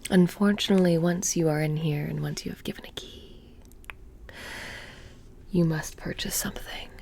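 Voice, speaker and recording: A woman playing a sly, mysterious witch shopkeeper in a soft-spoken ASMR roleplay, hushed and breathy, slipping in and out of whispers. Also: on the low side, slight background noise. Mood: stern, curious.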